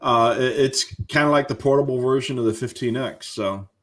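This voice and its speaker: An American man co-hosting a live show, giving casual, measured technical explanations. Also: mid-range voice, a bit bright.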